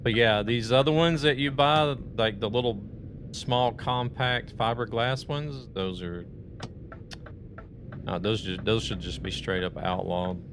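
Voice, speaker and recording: A man's voice: medium pitch, steady tone, measured pace, noisy background. A man live-streaming a truck game, chatting in a rambling, conversational way and greeting viewers. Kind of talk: casual live-stream chat while playing a truck game.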